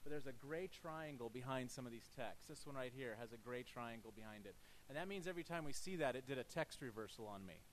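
A middle-aged man speaks at a lectern in a lecture hall, explaining code in a loose conversational way that keeps doubling back on itself. His pitch sits in an ordinary middle range. A faint hiss runs under the recording. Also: expressive, fast talker, few pauses. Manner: casual, thinking aloud with frequent restarts. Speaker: conference presenter. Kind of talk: technical talk with live demo.

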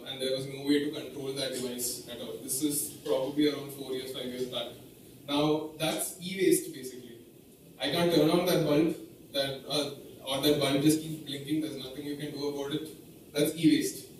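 A young man with an Indian accent giving a meetup tech presentation in a hesitant, explanatory way, with frequent filler words. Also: a little high. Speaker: tech speaker. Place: indoor meeting room, standing by a projection screen. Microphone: picked up from a distance. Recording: very echoey room, distant mic, faint noise.

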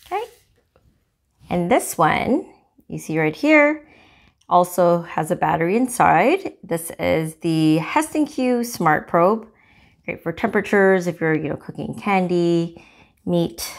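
A woman hosting a cooking channel, casually demonstrating a gadget in her home kitchen, chatty and relaxed with small fillers. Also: lowish voice, takes it slowly, vocal fry. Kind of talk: casual product demo.

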